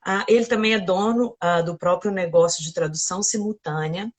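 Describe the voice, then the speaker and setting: A woman hosting a live interview, introducing her guest warmly and asking encouraging questions. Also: fairly low pitch, normal speed. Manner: enthusiastic, encouraging, laughs often. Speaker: host.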